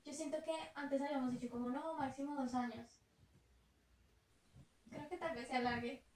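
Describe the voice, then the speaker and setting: A woman's voice: high-pitched, steady tone, normal speed, darkish tone. A young woman with a Colombian Spanish accent, chatting reflectively about travel as a vlogger. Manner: chatty, reflective, laughs easily. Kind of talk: casual chat. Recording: echoey room with faint noise.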